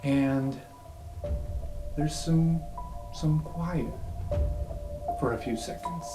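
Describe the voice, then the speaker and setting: Echoey, higher-voiced man running a tabletop game. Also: drawn-out words. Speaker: game master. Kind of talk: improvised tabletop game narration. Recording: roomy echo with music underneath. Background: music.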